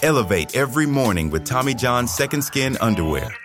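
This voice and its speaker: A man reads an ad script in a smooth, promotional voice at a middle pitch. Clear music plays behind him. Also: sing-song, a little fast. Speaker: ad announcer. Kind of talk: scripted ad read.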